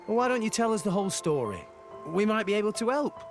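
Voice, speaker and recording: Earnest young game hero kid, high voice, really animated. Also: male voice, quick pace, music in the background. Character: plucky working teenage boy, earnest, fair-minded young hero. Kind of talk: video game cutscene acting.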